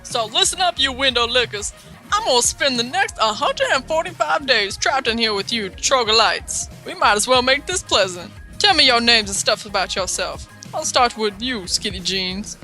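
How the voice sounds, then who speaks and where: Lady doing a bossy insulting anime parody teacher guy, sharp and forceful, swoopy delivery, bit of music behind. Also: a little high, animated, moderate pace. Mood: abusive, gruff. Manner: barking, insulting, drill-sergeant delivery. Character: loud, abusive male schoolteacher in anime parody. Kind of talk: comedic visual novel parody dub.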